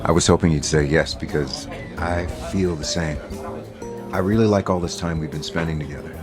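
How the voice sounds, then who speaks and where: A man's voice: rumbling low, moderate pace. A man acting an affectionate, easygoing boyfriend in an audio soap opera, speaking naturally. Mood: tender, happy. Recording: studio drama with music underneath. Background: music.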